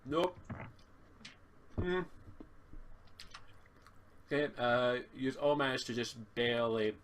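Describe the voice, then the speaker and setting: A man improvising narration as a tabletop game master, speaking casually and haltingly, sometimes swearing, on an amateur online recording. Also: medium pitch, slight background noise.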